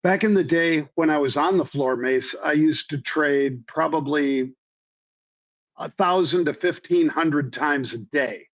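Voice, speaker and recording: A man with a slightly hoarse voice, a trading guest explaining things in a folksy way with many ums and repeated phrases. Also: a little high, unhurried. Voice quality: hoarse from years of floor yelling. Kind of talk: conversational explanation. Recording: dull lo-fi audio.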